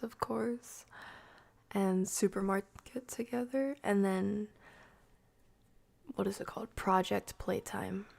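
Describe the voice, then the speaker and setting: A woman's voice: mid-range voice, creaky, a little mellow. A woman game streamer chatting casually through live gameplay with wry, rambling asides.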